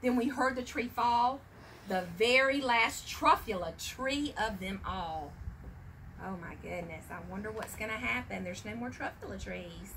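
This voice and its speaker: Woman, children's story reader, high bright voice, expressive, emphatic read-aloud. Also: unhurried, a little hiss or hum, low-quality recording.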